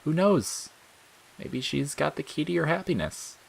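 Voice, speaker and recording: A man's voice: fairly high pitch, expressive, measured pace, vocal fry, breathy edge, voice pushed up, full character voice, rough recording. A man performs a malicious little talking flower for an audio story. The voice jumps well above his natural register and swoops around. It is childish, jeering and volatile, built for sneers, cackles and false sweetness. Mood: falsely friendly, wheedling. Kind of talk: dramatic audiobook character acting.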